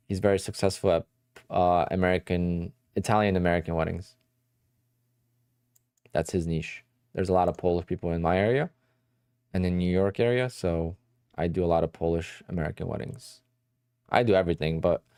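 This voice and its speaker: A man's voice: low voice, a little mellow, clear, resonant tone, no echo, studio quality. A man from New Jersey hosting a day trading livestream, chatting casually and rambling as he answers questions from his chat.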